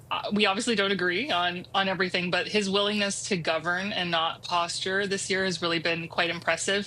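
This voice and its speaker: A woman offering political commentary on a television panel, brisk and assured. Her voice is bright and forward, set a little low. Faint room noise sits behind her.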